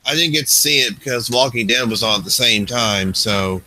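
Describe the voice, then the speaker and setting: A man's voice: medium pitch, moderate pace, bright, forceful tone, dry recording. A man in his forties co-hosting an American talk show, emphatic, often repeating and restarting his words. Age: 40s. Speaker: co-host. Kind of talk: casual chat.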